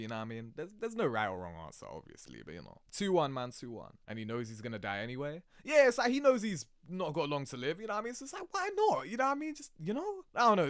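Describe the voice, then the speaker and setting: A man giving casual, slangy, animated reaction commentary as a video host. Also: mid-range voice, clear, resonant tone, no echo, clean studio sound.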